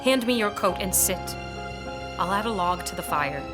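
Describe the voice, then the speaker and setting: A woman's voice: mid-range voice, expressive, normal speed, over loud music, close to an everyday voice. A woman playing an earnest, capable scholar in an audio drama, speaking politely and directly. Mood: concerned, gentle. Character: earnest, capable young woman scholar, defensive yet respectful.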